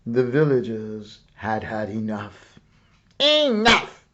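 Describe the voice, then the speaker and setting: Male storybook reader, theatrical and very lively, unhurried with dramatic pauses, slightly dull recording. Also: mid-range voice. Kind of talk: animated read-aloud of a children's picture book.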